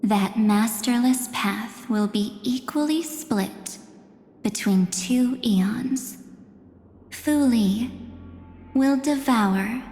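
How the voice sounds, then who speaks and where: An actress voicing a calm, mysterious young woman in a video game, speaking deliberately with theatrical, mocking condescension. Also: a little high. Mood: grave, condescending. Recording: echoey reverberant hall, music underneath.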